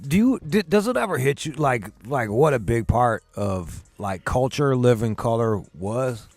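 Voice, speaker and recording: A man's voice: medium pitch, expressive, unhurried, darkish tone, no echo. A man from Michigan hosting a radio show, chatting in loose, profane, laid-back banter. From Pontiac, Michigan. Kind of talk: casual radio interview chat. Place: radio studio.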